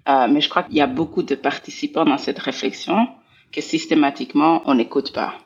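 A woman in her forties from Belgium speaks with a gravelly, husky voice. She talks slowly and reflectively as an interview guest, looping back on her words while she finds her phrasing. The recording sounds a little dull and muffled. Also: low voice, fairly even intonation. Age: 40s. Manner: thoughtful, repeats words while searching for phrasing. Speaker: guest, scholar of international relations.

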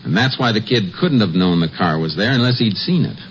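An actor playing a steady, suspicious Texas Ranger investigator in a 1950s western radio drama, speaking in a clipped, businesslike, authoritative way. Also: male voice, lowish voice, a little fast, slight background noise, close to an everyday voice. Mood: pensive, resolute. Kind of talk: 1950s western radio drama acting with first-person narration. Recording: dull old radio recording with music.

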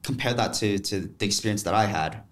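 Low-voiced male founder, matter-of-fact. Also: a little fast. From Vietnam. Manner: fluent, matter-of-fact, with "um" fillers. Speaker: startup founder, guest. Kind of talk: interview answers. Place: studio.

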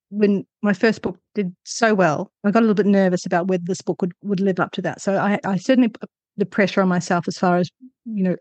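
Casting: female author guest, mid-pitched, reflective and searching for words, close dry sound. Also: darkish tone, dry recording. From Australia. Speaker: author being interviewed. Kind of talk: conversational interview answers.

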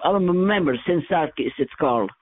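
Older woman, halting. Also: low-pitched, animated. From France. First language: Armenian. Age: 90s. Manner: halting, searching for words with many restarts. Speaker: interviewee. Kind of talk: reminiscing in casual conversation. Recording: tinny phone-like line, dry.

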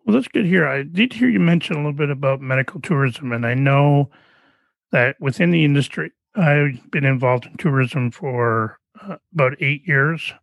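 A man's voice: a little high, normal speed, gravelly, dry recording, muffled recording. Male podcast host, easygoing, rambling delivery. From Canada. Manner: rambling, friendly, with restarts. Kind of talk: casual interview chat.

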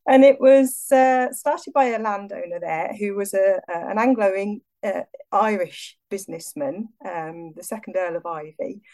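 Woman, podcast co-host, medium pitch, casual, chatty, emphatic, effusive. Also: unhurried, few pauses, mellow. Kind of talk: casual chat.

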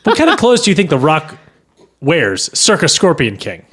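A man's voice: on the high side, wildly swooping pitch, normal speed, fairly bright tone, breathy edge. A man in his twenties co-hosting a podcast with casual, dryly insistent banter. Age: 20s. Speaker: podcast host and amateur screenwriter.

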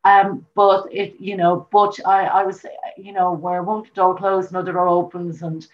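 A woman's voice: lowish voice, level tone, moderate pace. Chatty Irish woman on a dull line. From Ireland. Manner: chatty, rambling, frequent repeats and fillers. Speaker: guest musician. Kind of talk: casual interview chat.

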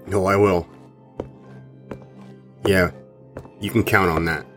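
A man's voice: low voice, steady tone, slow pace, fairly bright tone, soft background music. Man acting an insistent male band member. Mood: accusing, suspicious. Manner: insistent, accusing. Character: suspicious, confrontational male rock band member. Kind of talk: audio drama acting.

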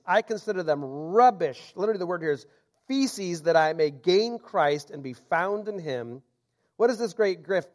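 A man preaching a sermon, reading scripture aloud and explaining it with self-deprecating asides. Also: a little high.

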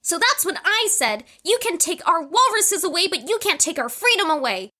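A woman's voice: extremely high pitch, very animated, moderate pace, pushed and bright, heavily acted. A woman in an audio drama voicing a chatty, earnest young female patient. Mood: chatty, proud.

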